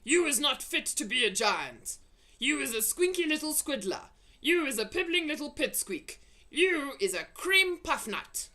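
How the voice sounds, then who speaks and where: A woman's voice: high voice, very animated, a little slow, bright, forceful tone, pitched above the performer's own voice, full character voice. A woman voicing a huge, vicious, greedy male giant from a storybook, roaring insults in broken, folksy grammar. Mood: scornful. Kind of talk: storybook character voice.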